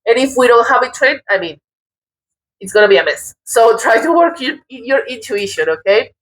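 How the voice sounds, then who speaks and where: A woman from Colombia with a Colombian accent, giving a casual tarot reading in a halting, thoughtful way, often restarting her words. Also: on the high side, animated, fairly bright tone, low-quality recording. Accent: Colombian Spanish. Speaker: tarot reader.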